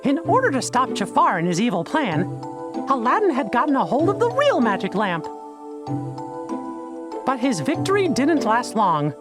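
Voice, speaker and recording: A kindly mouse leader in an animated game. The high falsetto is squeaky and very lively, telling things gently and earnestly, with music behind. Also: male voice, moderate pace, pauses often, full character voice. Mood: recounting. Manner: gentle storytelling. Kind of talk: animated game character acting.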